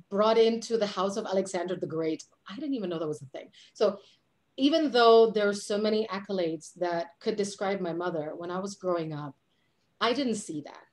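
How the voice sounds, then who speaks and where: Woman from Puerto Rico, animated, gesturing, webcam audio, home office. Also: mid-range voice. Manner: animated, gestures a lot with her hands. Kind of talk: video call conversation. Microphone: webcam on a video call.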